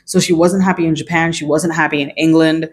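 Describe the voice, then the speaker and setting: A young adult book reviewer, raised in China, talks through a novel's themes in a relaxed, thoughtful way. The pitch sits mid-range, and the speech is full of small hedges and restarts. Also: fairly quick, a little hiss or hum. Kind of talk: casual video book review.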